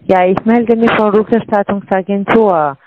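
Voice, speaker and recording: A woman's voice: mid-range voice, fairly even intonation, moderate pace, dry recording. Scam caller woman on tinny phone line. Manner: broken German, repeats scripted lines.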